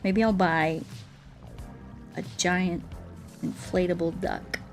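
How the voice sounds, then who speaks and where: A woman's voice: fairly low pitch, steady tone, takes it slowly, faint music behind. A woman livestreamer in casual, playful, rambling chat, answering her viewers aloud as she plays a game.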